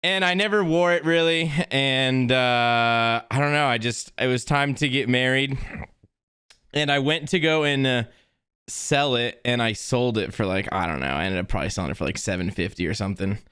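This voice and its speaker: A man's voice: medium pitch, moderate pace, a bit bright, clear, resonant tone, no room sound, studio quality. A man in his twenties telling personal stories in casual, slangy chat, often laughing as he talks. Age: 20s. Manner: laughs while talking, informal slang.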